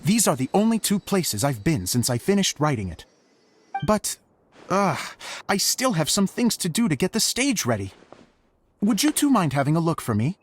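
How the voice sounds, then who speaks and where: Animated, theatrical stage director. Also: male voice, a little high, normal speed, a little hiss or hum. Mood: chatty, earnest. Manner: effusive, chatty, theatrical. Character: eager, theatrical, self-styled stage director man. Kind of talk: video game cutscene acting.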